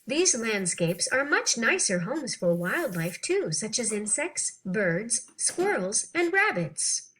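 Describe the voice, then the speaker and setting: A woman reading an interview text aloud for children in an animated, clearly phrased, teacherly way. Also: on the high side, takes it slowly, hardly any pauses. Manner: animated, clearly phrased, acting out questions and answers.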